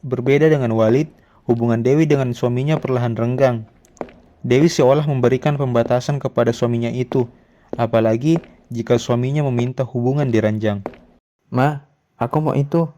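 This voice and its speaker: A man's voice: medium pitch, steady tone, brisk, mellow, a little hiss or hum. A man narrating a scripted drama story as its storyteller.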